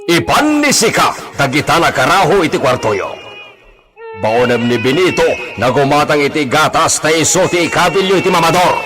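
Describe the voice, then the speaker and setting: A man's voice: a little high, very animated, normal speed. A man acting as an irritable, brooding master of a rural household in an Ilocano radio drama, given to muttering and outbursts. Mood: exasperated. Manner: exclamatory, swinging from muttering to outbursts.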